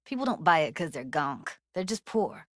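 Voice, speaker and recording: A woman's voice: low-pitched, very animated, normal speed, fairly bright tone, no echo, clean studio sound, heavily acted. A woman voicing a young, streetwise techie in a video game, blunt and guarded with tenderness underneath, speaking in casual, clipped slang. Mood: frustrated, urgent. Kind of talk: naturalistic video game voice acting.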